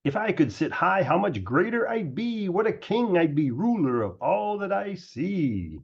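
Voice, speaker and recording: A man's voice: high-pitched, sing-song, a little slow, creaky, slightly rough, highs cut off, pitched above the performer's own voice, heavily acted. A man voicing a pompous, boastful turtle king in a storybook, with an imperious, bellowing manner. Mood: discontented. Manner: imperious, bellowing, self-admiring.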